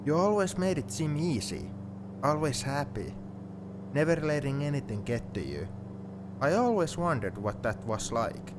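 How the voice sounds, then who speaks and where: A man playing a sentimental, teasing young friend heading to university, in an intimate one-sided ASMR roleplay. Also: medium pitch. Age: young adult. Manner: reflective, playfully defensive. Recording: steady car noise behind the voice.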